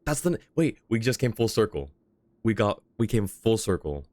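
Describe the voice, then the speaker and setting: A man's voice: lowish voice, big pitch swings, moderate pace, a little mellow, no room sound. A man doing casual live game commentary as a streamer, playful and mock-dramatic as he chats to viewers.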